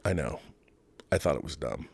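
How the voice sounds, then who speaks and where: A man's voice: a bass voice, animated, moderate pace, gravelly, slightly rough, dry recording. A man hosting a livestream, talking casually and reading things out, with conversational asides and repeated phrases for emphasis. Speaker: livestream host. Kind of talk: casual live commentary, reading out comments and articles.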